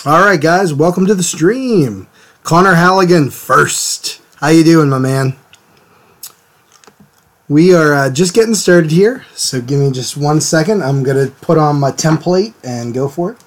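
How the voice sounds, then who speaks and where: Slightly hoarse man chatting on a live stream. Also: fairly high pitch, animated, a little slow. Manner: chatty, greets viewers by name, many fillers. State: hoarse, recovering from a cold. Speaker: art stream host. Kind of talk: casual live-stream chat. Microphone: desk mic, sometimes turned away from it.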